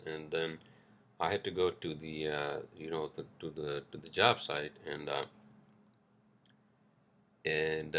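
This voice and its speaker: Deep gravelly man rambling casually. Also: pushed and bright. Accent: non-native English accent. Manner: rambling, loose and profane, many fillers and restarts. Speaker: podcast host. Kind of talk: casual solo podcast monologue.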